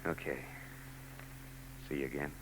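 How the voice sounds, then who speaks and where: An actor playing a hardboiled private eye in a 1940s radio drama, dry and sardonic with quick wisecracks. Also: male voice, rumbling low, flat delivery, noisy background. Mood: wry. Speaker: narrator and lead.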